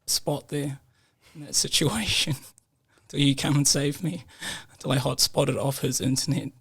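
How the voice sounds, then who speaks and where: A man's voice: mid-range voice, measured pace, gravelly, husky. A young man with a New Zealand accent, a guest telling stories in a casual podcast chat, laughing often. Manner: laughs often, relaxed storytelling with slang. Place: podcast room.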